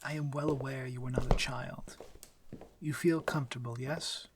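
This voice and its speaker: A man performs a male vampire lord for an intimate ASMR roleplay. His speech is formal and measured, courteous but used to being obeyed. The soft, protective voice often sinks toward a whisper, over light background noise. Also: mid-range voice, fairly even intonation, natural voice. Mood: tender, insistent.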